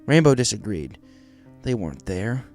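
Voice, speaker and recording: A man's voice: on the low side, sing-song, moderate pace, a little mellow, faint music behind. A man reading a story aloud as scripted narration. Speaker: fan fiction reader.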